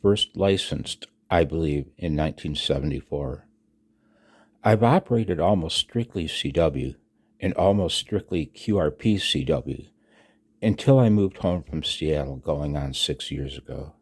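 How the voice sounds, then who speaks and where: A man in his sixties giving a personal voice-over announcement, halting and reflective, with a husky low voice. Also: lively intonation, darkish tone. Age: 60s. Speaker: amateur radio hobbyist and video narrator. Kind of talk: personal voice-over announcement, partly read from own text.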